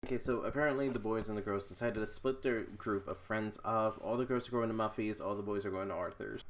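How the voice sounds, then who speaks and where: Low-voiced man in playful, slangy chat. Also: fairly even intonation, fast. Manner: playful, incredulous commentary with slangy asides. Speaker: book vlogger. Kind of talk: casual reaction read-along chat.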